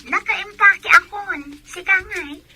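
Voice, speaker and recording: A woman playing an ambitious, stubborn young village woman in a radio drama, with an Ilocano accent and an insistent, husky delivery. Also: extremely high pitch, takes it slowly, bright, forceful tone, soft background music, full character voice, rough recording. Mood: determined.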